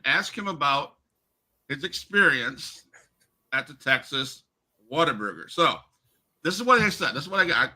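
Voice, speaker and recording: A man's voice: a little high, sharp tone. A man hosting a wrestling podcast, asking questions casually and reading out messages he got. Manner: friendly, reads questions and texts aloud. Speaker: podcast host.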